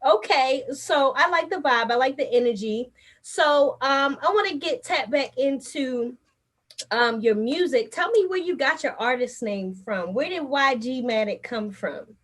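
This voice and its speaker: Upbeat high-voiced woman host. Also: animated, a little slow. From Baltimore, USA. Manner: upbeat, slangy, hype. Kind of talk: casual interview chat.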